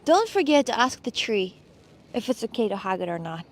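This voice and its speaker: High-voiced excitable woman. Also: a little fast. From Russia. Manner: excitable, repetitive, playful. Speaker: streamer. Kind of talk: casual livestream chat.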